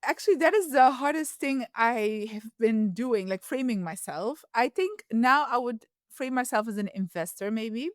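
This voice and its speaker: A woman's voice: fairly high pitch, expressive, moderate pace, few pauses, no room sound, studio quality. A woman from Ethiopia who grew up in the Netherlands, speaking as a podcast guest in a reflective, casual way with frequent fillers and restarts. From Ethiopia, raised in the Netherlands. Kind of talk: casual interview conversation.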